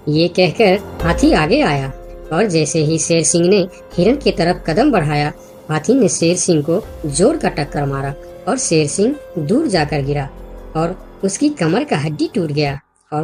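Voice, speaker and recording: A woman's voice: on the low side, a little mellow. A woman reading scripted narration for a children's animal story.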